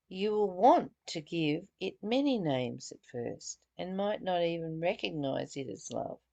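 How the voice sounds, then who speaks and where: A woman leads a spiritual study, reading aloud and adding her own reflections. She speaks very slowly, in a lowish voice with a creaky edge. Her delivery is earnest and a little halting, with phrases repeated as she goes. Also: darkish tone. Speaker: spiritual teacher leading a book study. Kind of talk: reading aloud with spoken commentary.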